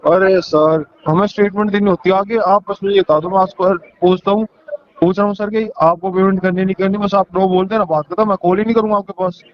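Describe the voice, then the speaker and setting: Through a dull, lo-fi phone recording comes a man with a high voice. He talks like a loan recovery agent, pushy and impatient, repeating his demand for a straight answer. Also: steady tone, brisk. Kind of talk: pressuring phone conversation. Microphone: phone call.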